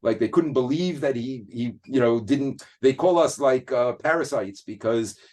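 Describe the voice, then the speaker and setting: A man of middle pitch talking as a guest in a relaxed interview. He speaks earnestly and unpolished, stumbling over words and restarting his thoughts as he explains. His delivery sounds like a real conversation rather than a prepared speech. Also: moderate pace. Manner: hesitant, many restarts and fillers, earnest.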